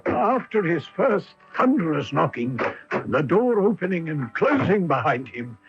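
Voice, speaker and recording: Gravelly, high-voiced Victorian doctor-narrator. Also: male voice, big pitch swings, a little slow, exaggerated voice. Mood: dramatic recounting. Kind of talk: 1980s radio drama acting and narration. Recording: dull vintage radio broadcast, music behind.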